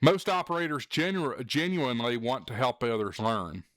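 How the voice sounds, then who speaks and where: A man's voice: medium pitch, normal speed, fairly bright tone. A man hosting a hobby show, talking casually and directly to the listener in an earnest, encouraging way with emphasis on key words. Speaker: host of a ham radio show. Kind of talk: casual direct-to-camera talk.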